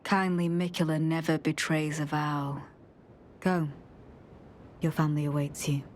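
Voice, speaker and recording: An actress playing a devoted, suspicious female knight in a fantasy game, speaking formally and with measured, archaic phrasing and quiet menace. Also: low-pitched, little pitch movement, slight background noise. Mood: composed, commanding. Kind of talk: dramatic fantasy game dialogue.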